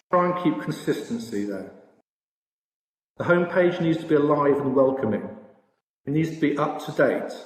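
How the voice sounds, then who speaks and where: A British man presenting at an industry conference with a slide talk, speaking haltingly and repeating words now and then. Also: mid-range voice, a little mellow. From Britain. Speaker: industry conference presenter, sportsbook products director. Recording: echoey room with long reverb.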